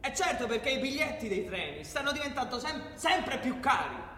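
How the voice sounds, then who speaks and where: A man's voice: extremely high pitch, big pitch swings, moderate pace, pushed and bright, a little hiss or hum. A man narrating a polemical radio play in an emphatic, declamatory, theatrical style. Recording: echoey hall with music.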